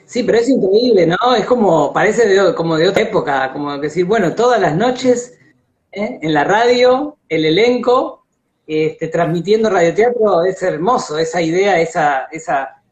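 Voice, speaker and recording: An Argentine man hosting a casual, enthusiastic conversation, speaking haltingly and repeating words as he thinks aloud over a video call. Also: sits high, expressive. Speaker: interview host. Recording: dull lo-fi live-stream audio.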